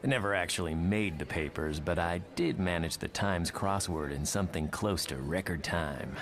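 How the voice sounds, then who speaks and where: A man's voice: deep voice, moderate pace, crowd noise, close to an everyday voice. A man voicing a big, erudite, picky student hero with a dry, articulate manner in a motion comic. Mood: smug.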